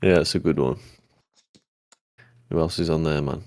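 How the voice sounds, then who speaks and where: A man, co-hosting a casual podcast chat, easygoing and swearing freely, with a deep voice that turns mumbly when relaxed. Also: no room sound. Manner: easygoing, swears casually, quick agreeing "yeah"s. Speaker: podcast co-host.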